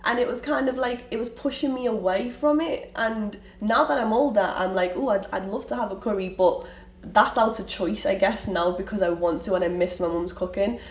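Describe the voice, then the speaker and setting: A woman's voice: medium pitch, moderate pace. A young woman with a Liverpool accent, candidly telling her family story in an interview, often restarting her sentences. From Liverpool, England. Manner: candid, rambling with frequent restarts. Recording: roomy, from a distance, faint ambient noise.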